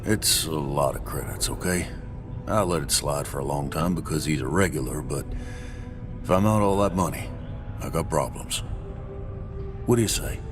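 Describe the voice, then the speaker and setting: An actor playing a world-weary, wary Martian frontier bartender in a video game, plainspoken and colloquial. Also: male voice, rumbling low, moderate pace, long pauses, over music. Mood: defensive, exasperated. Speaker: bar owner character. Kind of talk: video game cutscene acting.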